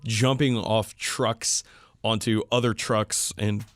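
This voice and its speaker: Guy podcast cohost, kinda low voice, dry close sound, casual excited hobby talk, swears a bit. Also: takes it slowly, no room sound. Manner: informal, sweary, enthusiastic. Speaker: podcast co-host.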